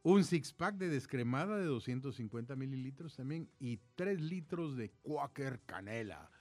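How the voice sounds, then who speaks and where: A man's voice: medium pitch, lively intonation, moderate pace, dry recording, faint music behind. A man with a Salvadoran accent hosting a radio show with casual, chatty banter. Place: radio studio.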